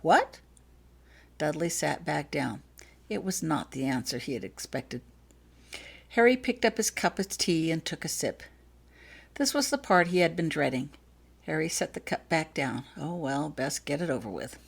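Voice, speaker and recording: Woman narrating fiction, lower-pitched and animated. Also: moderate pace, vocal fry, breathy edge. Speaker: author reading own fiction. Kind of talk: scripted audiobook narration.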